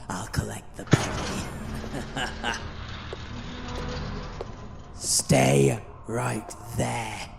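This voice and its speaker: Audio drama villain played by a man: callous, taunting, very slow drawl over loud music. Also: mid-range voice, vocal fry, exaggerated voice. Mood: gloating. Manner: taunting, flippant, drawn-out. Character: callous, sneering male villain relishing cruelty.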